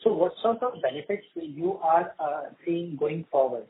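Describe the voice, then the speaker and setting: Higher-voiced man on a noisy phone. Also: lively intonation, normal speed. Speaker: financial analyst asking questions. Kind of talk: polite earnings call questions. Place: phone call. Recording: thin phone line with clear noise.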